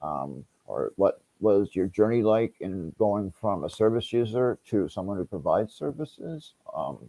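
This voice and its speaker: Very low, mellow, husky male moderator. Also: very animated, measured pace, no room sound. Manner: tentative, polite, inviting. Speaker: panel moderator. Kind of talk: informal panel moderation.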